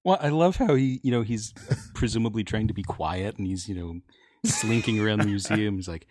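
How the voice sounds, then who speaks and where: A man's voice: on the low side, fairly quick, a little mellow, slight background noise. A middle-aged man chatting casually and telling anecdotes as a panelist.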